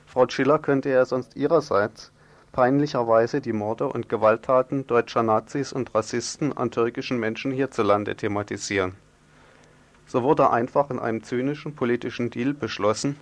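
A man presenting radio news, reading scripted political commentary in long, formal sentences. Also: medium pitch.

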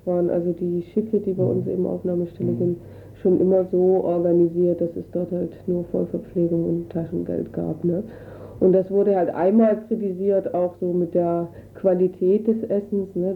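Woman, phone interview, flat low-ish voice, thin lo-fi line with light hiss. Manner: chatty, with frequent "ne?" and "halt" fillers. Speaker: refugee council member interviewed by phone. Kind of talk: casual spoken interview. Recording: tinny phone line with faint noise.

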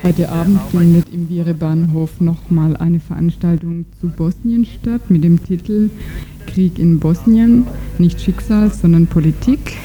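A woman's voice: lowish voice, unhurried, mellow. A woman working as a radio presenter, introducing an item and putting questions to a guest. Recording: faintly noisy 1990s radio recording.

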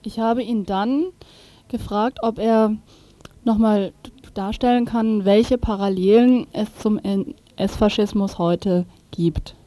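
A woman's voice: mid-range voice, steady tone, slow, dark tone. A woman working as a radio interviewer, putting questions to a guest.

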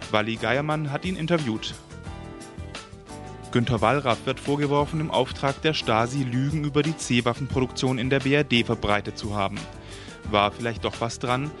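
Radiomoderator über deutlich hörbarer Musik. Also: male voice, medium pitch. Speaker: radio magazine presenter. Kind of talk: scripted news magazine presentation. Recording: music bed under the voice. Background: music.

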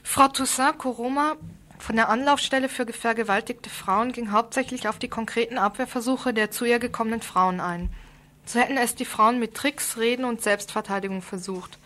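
A woman radio presenter reads a prepared report in a fairly high-pitched voice. She sounds serious and formal, keeping to the written text. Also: moderate pace, fairly bright tone. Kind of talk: scripted radio report, read out.

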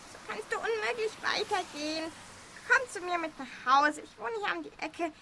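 A woman's voice: extremely high pitch, expressive, moderate pace, vocal fry, background noise, full character voice. A woman playing a lonely, caring old grandma, fussing and sighing in an amateur radio play. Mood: caring, insistent. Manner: fussing, chatty, sighing, uses southern German dialect words.

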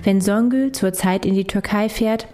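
A woman's voice: mid-range voice, moderate pace, warm tone. A woman reading scripted narration for a radio documentary. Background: music.

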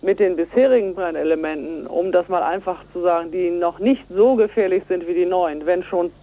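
A woman speaks over a telephone line in a radio interview. Her voice is mellow and relaxed in tone, while her manner is earnest and emphatic. She explains her case step by step, like a campaigner used to setting out the facts. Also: on the low side, fairly quick. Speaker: environmental activist and association chair being interviewed. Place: on a telephone call to a radio show.

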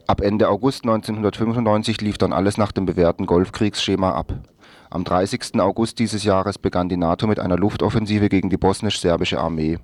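A man's voice: sits low, steady tone, fairly quick, no echo. A man reading a scripted news report on the radio.

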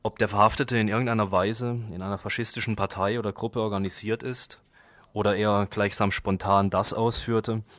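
A man's voice: fairly low pitch, level tone, moderate pace, fairly bright tone, no room sound. A man presenting items on a radio news magazine.

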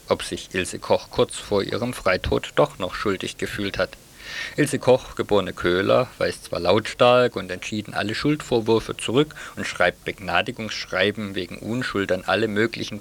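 A man's voice: lowish voice, creaky, fairly bright tone. A man reading a scripted radio theatre review in a wry, opinionated manner.

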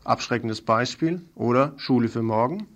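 A man's voice: medium pitch, normal speed, lo-fi sound. A man presenting a radio news programme, reading scripted reports.

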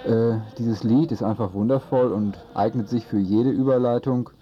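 A man's voice: mid-range voice, steady tone, moderate pace. Wry male radio host, slightly dull sound. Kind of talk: informal radio show introduction. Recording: dull radio recording, faint music.

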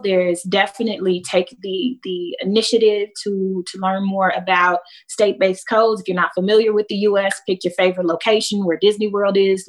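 A woman with an American accent speaking as a panelist, explaining things in a measured way with emphasis on key words. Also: mid-range voice, rough recording.

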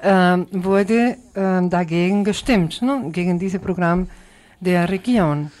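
A woman's voice: on the low side, slow, a little mellow. A woman hosting a conversational political radio talk, searching for words and adding little question tags. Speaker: radio interviewer and co-host.

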